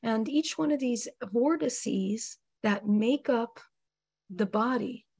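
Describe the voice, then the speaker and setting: A woman, hoarse from a cold, speaking slowly and deliberately with many pauses in a spiritual panel discussion. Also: a little high, darkish tone, no echo. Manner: slow, measured, many ums. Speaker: panel guest.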